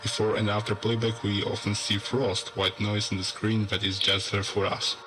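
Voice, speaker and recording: A man playing a sober narrator who lays out an ominous theory in a measured, expository horror narration. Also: lowish voice, level tone, lightly acted. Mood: grave, explanatory. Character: male narrator soberly presenting a theory of apocalyptic anomalies. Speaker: narrator of an analog horror video. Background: background music.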